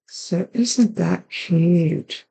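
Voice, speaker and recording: A woman with a raspy, hoarse voice hosting a card-making tutorial, chatting along as she works through each step. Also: fairly low pitch, very slow, dark tone, low-quality recording. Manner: chatty, talks while working with her hands. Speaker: card-making channel host.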